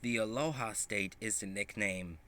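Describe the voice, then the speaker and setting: A man reading a children's picture book aloud in a halting, deliberate way, with small stumbles. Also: fairly low pitch, pushed and bright, a little hiss or hum. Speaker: storybook reader.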